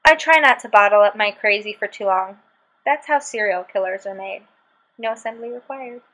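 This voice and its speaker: A woman's voice: mid-range voice, moderate pace, a bit bright, rough recording. A young woman in her twenties reading her own book posts aloud with a sarcastic, exasperated delivery, recorded on a webcam in a small bedroom. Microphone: webcam at desk.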